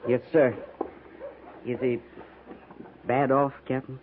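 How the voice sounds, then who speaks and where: A man's voice: mid-range voice, level tone, slow pace, natural voice. A man playing a respectful, folksy cavalry sergeant in 1950s radio drama. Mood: dutiful. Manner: deferential, folksy. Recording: narrow-band, faintly noisy 1950s radio recording.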